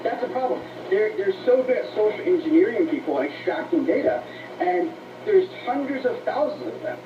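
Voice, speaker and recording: A high-pitched man gives earnest, slightly halting interview answers. He sounds roomy and distant, as if played back over a dull radio. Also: very animated, background noise. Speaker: interview guest, author.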